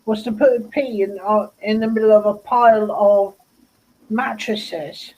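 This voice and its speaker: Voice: mid-range voice, fairly even intonation, a little slow, darkish tone, poor audio. Chatty speaker. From Devon, England. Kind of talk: casual group chat.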